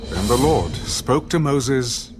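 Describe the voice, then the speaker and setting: A man narrating a dramatised Bible reading in a solemn, measured storytelling way. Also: mid-range voice, lively intonation. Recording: prominent music under the voice. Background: loud background music.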